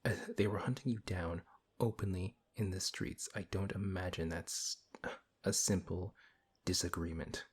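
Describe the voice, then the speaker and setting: Man playing a deep, husky, exasperated paladin. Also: fairly even intonation, measured pace, a little mellow. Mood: concerned, probing. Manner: flustered, questioning, sighing. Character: big strong, good-hearted, exasperated male paladin. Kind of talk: audio roleplay, fantasy acting.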